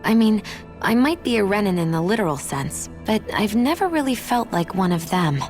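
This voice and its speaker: An actress playing a guarded, reflective young heroine in a video game, speaking thoughtfully and earnestly. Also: female voice, medium pitch, sing-song, normal speed, darkish tone, close to an everyday voice. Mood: somber, reflective. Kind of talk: dramatic video game cutscene acting. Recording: music playing behind the voice.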